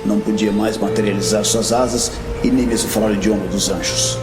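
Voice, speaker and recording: An actor playing a grim, world-weary veteran warrior master in a fantasy audio drama, speaking bluntly and solemnly. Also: male voice, on the low side, fairly even intonation, fairly quick, natural voice. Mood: grave, confiding. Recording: background music.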